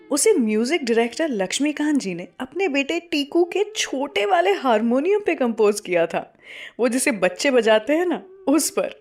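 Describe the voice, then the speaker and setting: A woman presenting a music radio show, chatting conversationally and speaking directly to her listeners. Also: on the high side.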